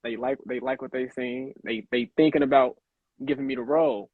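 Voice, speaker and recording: A man's voice: mid-range voice, steady tone, brisk, no room sound. A young man from Chicago, an actor guest in a casual interview, talking in a laid-back, rambling way full of fillers over a video call. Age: young adult. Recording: tinny phone-like line.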